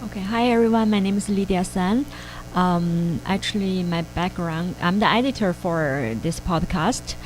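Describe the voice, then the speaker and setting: A woman from China with a Chinese accent, a radio guest speaking in a measured way with pauses. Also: lowish voice, a little hiss or hum, rough recording. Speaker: podcast editor and guest. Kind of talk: radio interview. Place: radio studio.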